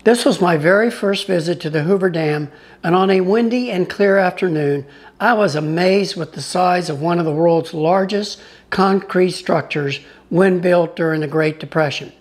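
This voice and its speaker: Travel vlogger reading a scripted voiceover, middle pitch, informative, talks to folks watching. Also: normal speed. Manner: informative, addresses viewers as folks. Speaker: travel vlogger narrating his own tour.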